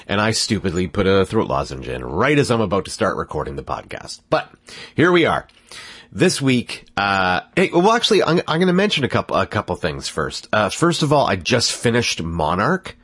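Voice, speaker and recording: A man's voice: lowish voice, very animated, normal speed, fairly bright tone, breathy edge, no room sound. A congested man hosting a podcast, rambling casually and cutting himself off as he goes. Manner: rambling, self-interrupting, laughs at himself. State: congested from a cold. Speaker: podcast host.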